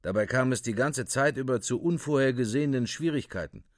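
A man's voice: medium pitch, fairly quick, dry recording, studio quality. A man narrating a literary radio drama in a clipped, report-like delivery.

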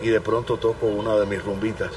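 Cuban pianist guy, lowish voice, talks slow and thoughtful, mic kinda far with noise around. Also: level tone. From Cuba. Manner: thoughtful, pausing, restarts words. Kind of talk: reflective interview answers. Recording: distant mic with background noise.

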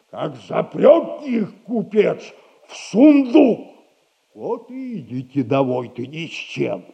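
An actor playing a sly, sardonic old retired soldier who lends money, in a 1960s radio play. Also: male voice, high voice, vocal fry, warm tone, highs cut off, exaggerated voice. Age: older. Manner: slow, teasing, sardonic advice.

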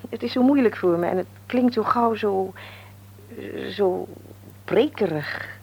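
Husky, earnest old mother. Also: mid-range voice. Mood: earnest, halting. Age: older. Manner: halting, earnest, searching for words. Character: devout, gentle old mother counselling her stubborn son. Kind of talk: radio drama acting.

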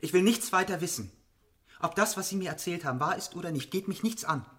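High-voiced, stern, indignant gentleman. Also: expressive, quick pace. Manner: clipped, emphatic, indignant. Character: stern, indignant gentleman scientist, resolutely refusing. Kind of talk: German radio drama acting.

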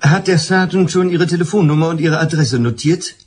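An actor playing a courteous, skeptical police inspector in a German radio play, speaking in a measured, slightly hesitant way. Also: male voice, fairly high pitch, lightly acted. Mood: polite, probing.

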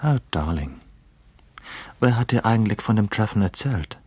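An actor playing a gentle, concerned lover in an intimate radio play, tender and hushed. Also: male voice, lowish voice, animated, moderate pace, husky, no room sound. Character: gentle, concerned male lover soothing a woman.

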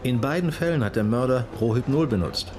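An actor playing a sober, persistent police detective in a radio drama, questioning people matter-of-factly. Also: male voice, mid-range voice, animated, brisk, music in the background, close to an everyday voice.

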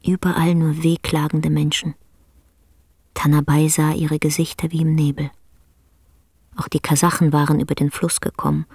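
A woman narrates a radio drama with sombre restraint. Her husky voice is creaky and stays very flat in pitch, recorded close and dry. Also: no room sound. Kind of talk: scripted literary radio play narration.

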